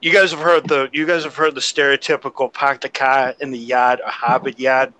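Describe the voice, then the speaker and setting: A man's voice: medium pitch, little pitch movement, fairly quick, a loud crowd, low-quality recording. A man from the Boston area with a Boston accent, rambling in a casual group chat and restarting his sentences.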